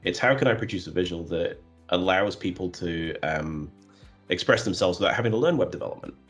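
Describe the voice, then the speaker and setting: A man in a conversational interview, speaking in a flat, monotonous way with many fillers and restarts. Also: sits low, fairly quick, faint music behind. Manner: self-described flat, monotonous voice. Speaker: guest, custom visual developer.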